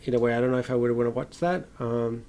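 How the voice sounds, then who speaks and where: A man co-hosting a film podcast, talking casually and haltingly, with some informal swearing. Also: mid-range voice, little pitch movement, darkish tone, smooth.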